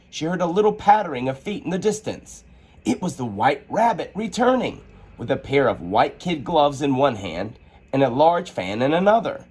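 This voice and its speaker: A man reading a children's storybook aloud as an expressive storyteller. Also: mid-range voice, husky.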